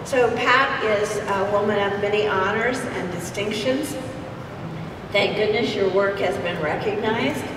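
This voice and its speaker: An older woman giving a formal introduction from a stage, speaking in an unhurried, warm way. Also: medium pitch. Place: large hall on a stage. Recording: echoey hall, distant mic, background noise.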